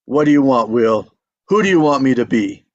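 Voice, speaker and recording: A man's voice: medium pitch, animated, normal speed, muffled recording, lightly acted. A man playing an aging father, a stubborn, folksy teller of tall tales, in a script table read. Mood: hurt, defiant. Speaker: actor in a screenplay table read. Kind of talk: live script table read, dramatic dialogue.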